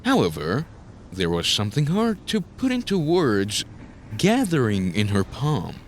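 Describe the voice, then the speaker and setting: A man's voice: medium pitch, wildly swooping pitch, a little slow, a little hiss or hum. A man narrating a scripted story in a dramatic style, as the narrator of an audio drama.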